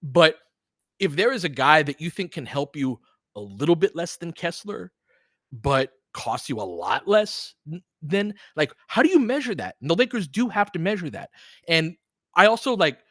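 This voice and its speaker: A man's voice: a little high, sing-song, normal speed, a bit bright, no room sound, studio quality. A man hosting a casual live sports talk stream, thinking aloud and posing rhetorical questions to make his points. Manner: thinks aloud, poses rhetorical questions, stresses points with check-ins like "right?". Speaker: host of a live basketball audio play-by-play and discussion stream. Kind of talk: casual live sports analysis, replying to chat.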